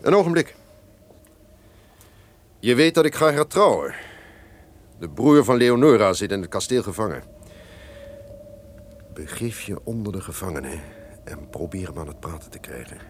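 An actor playing a guilt-ridden, suspicious castle lord, theatrical and declamatory, in an old-fashioned radio drama. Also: male voice, fairly low pitch, animated, moderate pace, pauses often, close to an everyday voice. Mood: guarded, commanding. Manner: theatrical, declamatory, swinging from barking orders to brooding. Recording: noisy old radio play recording.

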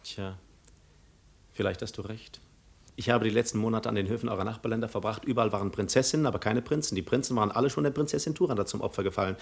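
An actor playing a suave, self-assured adventurer who poses as a prince, ironic and patronizing, in 1950s German radio drama style. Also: male voice, lowish voice, fast talker, gravelly, slightly rough. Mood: nonchalant.

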